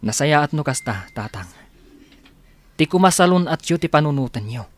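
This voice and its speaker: A man's voice: fairly high pitch, expressive, normal speed, no echo, lightly acted. An actor playing a stern, earnest policeman son who speaks admonishingly in an Ilocano radio drama. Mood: reproachful. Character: stern grown policeman son scolding his father.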